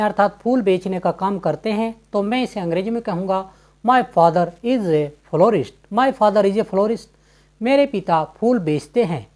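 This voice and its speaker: A woman teaching spoken English, explaining in a clear, even instructional tone and repeating each example sentence twice, very slowly and deliberately. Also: mid-range voice. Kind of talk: slide-based spoken English lesson.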